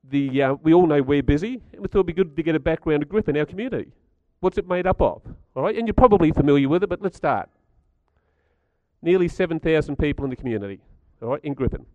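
A middle-aged man with a croaky voice, giving a chatty talk to a live audience and asking them questions. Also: fairly high pitch, animated, quick pace, no echo, phone-quality sound. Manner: chatty, stats-driven, asks the audience questions. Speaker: speaker at a community gathering.

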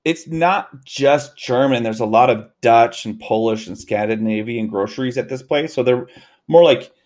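Rambling Canadian-raised podcast co-host. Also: male voice, mid-range voice, moderate pace, darkish tone. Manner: rambling, self-correcting, laughs easily. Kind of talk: casual podcast chat.

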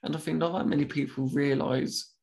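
A man's voice: fairly high pitch, steady tone, creaky, darkish tone. A young man who stammers, talking openly about it in a casual conversation as host. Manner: speaks with a stammer, pausing and restarting words.

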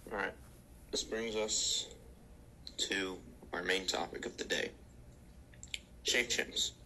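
A man playing a mock-earnest grooming expert in a comic spoof video, half whispering his pitch. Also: fairly even intonation, slow pace, pushed and bright, a little hiss or hum, exaggerated voice, poor audio. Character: man posing as a shaved-chimp grooming expert.

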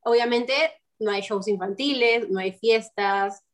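A woman from Peru in her twenties, telling her story in an animated, chatty way during an interview. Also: medium pitch, a little slow. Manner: animated, storytelling with quoted voices. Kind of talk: casual interview chat.

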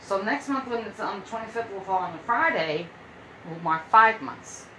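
A woman's voice: mid-range voice, animated, moderate pace, bright, forceful tone, a little hiss or hum, rough recording. Rambling woman in her fifties hosting. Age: 50s. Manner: rambling, repeats herself and restarts. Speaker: podcast host. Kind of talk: casual solo podcast chat. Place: her room at home.

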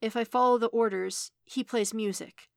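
A woman voicing a distressed, earnest young man in a story, halting, choked and pleading. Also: a little high, fairly even intonation, vocal fry, a little mellow, clear, resonant tone, dry recording, studio quality, voice pushed up.

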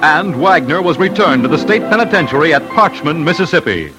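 A man's voice: fairly high pitch, very animated, fairly quick, over loud music, rough recording. A man narrating an old-time radio crime documentary in a dramatic 1940s style.

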